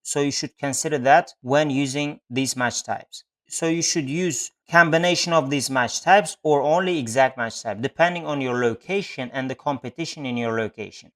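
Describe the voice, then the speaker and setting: A man's voice: mid-range voice, normal speed, few pauses, a bit bright. A man narrating an instructional screen-share tutorial, explaining step by step with non-native phrasing. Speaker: Google Ads instructor.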